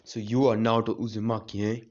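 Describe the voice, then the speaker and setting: A man voicing a smug old male ninja ghost, speaking in a measured tone. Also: fairly low pitch, little pitch movement, a little mellow, muffled recording, lightly acted.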